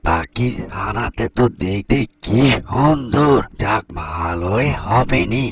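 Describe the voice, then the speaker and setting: A man's voice: fairly low pitch, animated, slow. A man playing a sly elderly grandfather in a cartoon, with a wheedling, muttering manner. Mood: gleeful, scheming. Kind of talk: Bengali cartoon voice acting.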